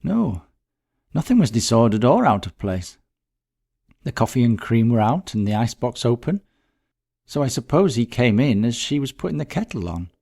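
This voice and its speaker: Man putting on an animated, distressed middle-class woman. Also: mid-range voice, normal speed, creaky. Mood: earnest. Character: distressed, bitter middle-class woman recounting a friend's death.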